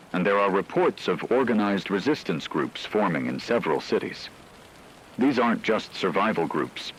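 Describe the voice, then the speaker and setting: Guy acting as a low voiced radio anchor in a zombie apocalypse drama, grave and formal, noisy background. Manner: measured, formal, analytical. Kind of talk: scripted radio news commentary.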